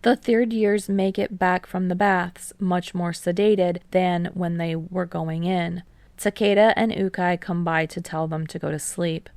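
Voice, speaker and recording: A woman's voice: fairly low pitch, fairly even intonation, a little slow, darkish tone, no room sound. A woman reading a scripted story aloud as an audiobook narrator. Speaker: fan fiction audiobook narrator.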